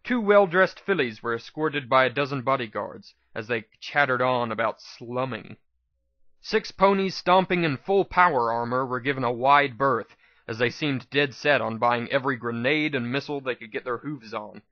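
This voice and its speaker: A man's voice: medium pitch, moderate pace, dry recording. A man narrating a first-person story as a dramatic audiobook reading. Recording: slightly dull, dry lo-fi recording.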